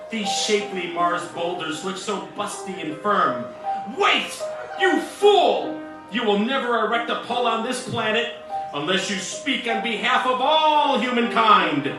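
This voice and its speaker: A man playing a bombastic, lecherous space captain in hammy, declamatory old-time radio serial style. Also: high voice. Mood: pompous, lewd musing. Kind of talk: parody of old-time radio adventure acting. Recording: echoey, distant, music behind.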